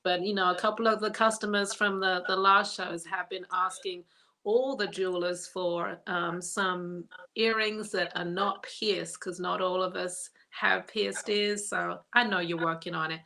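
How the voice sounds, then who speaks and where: A woman's voice: fairly low pitch, fairly even intonation, measured pace, vocal fry, pushed and bright, poor audio. A middle-aged woman from England whose accent is a mix of English and American, co-hosting a casual live stream and readily agreeing along. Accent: mixed English and American. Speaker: co-host and hat maker.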